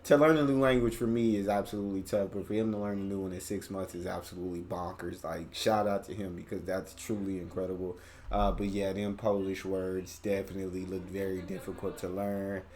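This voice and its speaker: American dude doing reaction vids, kinda low voice, slangy and chill, bit of hiss behind. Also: male voice, level tone, fairly quick, a little mellow. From United States. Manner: laid-back, slangy, chatty. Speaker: reaction channel host. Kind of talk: casual reaction commentary.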